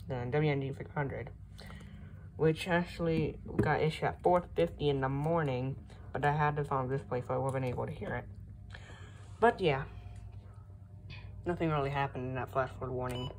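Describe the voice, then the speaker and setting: Young american woman, kinda low voice, casual show and tell vlog, phone recording w/ a bit of noise. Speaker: hobbyist vlogger showing a collection. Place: room at home. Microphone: handheld phone. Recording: noisy handheld phone recording.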